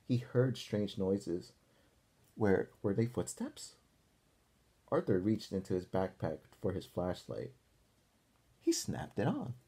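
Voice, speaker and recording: A man reading a picture book aloud to young children as a teacher, deliberately pausing to ask his listeners questions. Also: fairly low pitch, mellow, husky.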